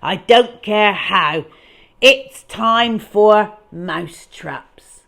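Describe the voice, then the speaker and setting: Woman as a loud, bellowing angry warehouse boss. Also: mid-range voice, drawn-out words, vocal fry, exaggerated voice. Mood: furious. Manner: bellowing, emphatic.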